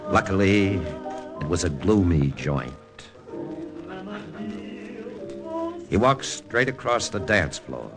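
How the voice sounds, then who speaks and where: An actor playing a tough American lieutenant narrating a wartime spy adventure in terse, dramatic old-time radio style. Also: male voice, sits low, expressive, a little slow, over music. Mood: tense, steady. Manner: terse, dramatic, hard-boiled. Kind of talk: 1940s-50s radio drama acting, hard-boiled first-person narration.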